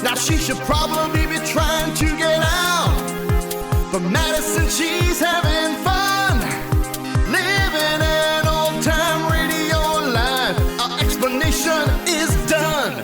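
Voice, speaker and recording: A woman sings a playful pop theme song in a high voice, drawing out the words slowly. Her voice is echoey and sits in loud music. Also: steady tone.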